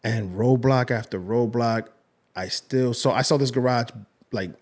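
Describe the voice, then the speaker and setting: A man's voice: mid-range voice, a little slow, darkish tone. A young man hosting a podcast, speaking earnestly and emotionally in a rambling casual chat with many restarts. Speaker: podcast host.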